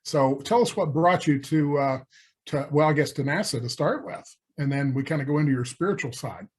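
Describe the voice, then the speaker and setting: A man's voice: a little high, brisk, breathy edge. A man co-hosting a podcast, teasing and joking sarcastically in casual chat. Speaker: podcast co-host.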